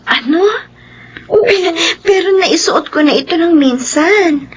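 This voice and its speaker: A woman's voice: sits high, expressive, slow pace, dull audio, slight background noise. An actress playing a kindly, easily swayed lady of the house in a radio drama, mild and indecisive.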